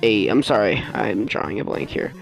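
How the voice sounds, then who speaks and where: Creaky-voiced man doing game commentary. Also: lowish voice, animated, soft background music, low-quality recording. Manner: rambling, halting, often losing his train of thought. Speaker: gaming video host. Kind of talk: casual let's play voice-over commentary.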